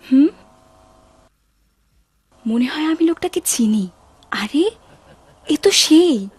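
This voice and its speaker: A woman's voice: high voice, animated, slow. A woman playing a clever, brave young maid in a cartoon, earnest and resolute. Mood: urgent, suspicious. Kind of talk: animated cartoon dub acting.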